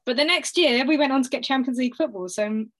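A woman in her thirties with a London accent, chatting casually as an interview guest, self-deprecating and quick to laugh. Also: sits high. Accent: London English. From North London, England.

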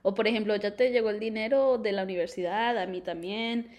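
A woman's voice: mid-range voice, fairly even intonation, brisk, darkish tone. A young woman co-hosting a podcast, chatting casually and mixing in English words. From Colombia. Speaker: podcast co-host. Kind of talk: casual chat.

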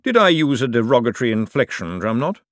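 A man reading aloud and voicing a cold, calculating ruler who speaks slowly with icy politeness. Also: medium pitch, big pitch swings, a bit bright, no echo, clean studio sound, pitched above the performer's own voice. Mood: coolly polite. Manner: slow, measured, icily polite. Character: cold, silkily menacing male ruler, patient tyrant.

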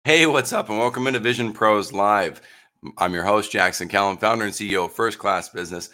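A man hosting a live business podcast, hoarse from losing his voice, rambling and upbeat through his intro and sponsor mentions. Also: lowish voice, brisk, sharp tone, soft background music. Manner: rambling, upbeat, self-deprecating. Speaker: podcast host.

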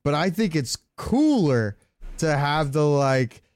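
A man's voice: on the high side, big pitch swings, slow pace, darkish tone, clean tone, no room sound, clean studio sound. A man hosting a casual gaming podcast, talking in an opinionated, rambling way with plenty of swearing. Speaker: podcast host.

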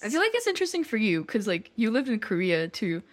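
A woman's voice: a little high, sing-song, fast talker. A young woman from Nepal co-hosting a casual chat. She talks in loose, rambling sentences full of fillers and restarts. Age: young adult. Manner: chatty, many fillers and restarts. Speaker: co-host.

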